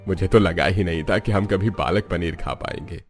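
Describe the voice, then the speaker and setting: A man's voice: low-pitched, normal speed, soft background music, close to an everyday voice. A man playing a poor, resigned older father-in-law in a cartoon story, talking in a heavy, weary manner. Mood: moved.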